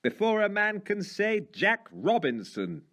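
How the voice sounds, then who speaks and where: Booming, jolly fat old merchant. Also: male voice, wildly swooping pitch, measured pace, fairly bright tone, full character voice. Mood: jovial, booming. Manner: booming, jovial, hailing. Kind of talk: storybook character reading.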